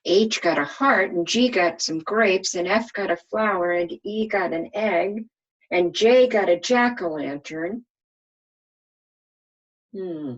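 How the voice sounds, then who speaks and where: A woman's voice: mid-range voice, slow, highs cut off. A woman reading a children's storybook aloud like a kindergarten teacher, expressively and with questions for her listeners.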